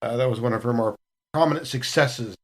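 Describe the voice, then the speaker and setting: A man's voice: mid-range voice, brisk. A man chatting casually as a podcast cast member, telling stories in a rambling way. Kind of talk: casual retrospective chat.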